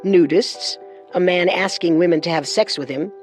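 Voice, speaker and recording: A woman's voice: fairly low pitch, moderate pace, a little mellow, soft background music. A woman co-hosting a true crime show, delivering scripted narration with dramatic phrasing.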